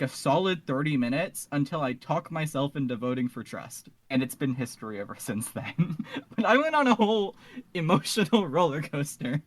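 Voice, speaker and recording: A man's voice: on the high side, dry recording. Man chatting on a podcast, dry close sound. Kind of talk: casual podcast discussion.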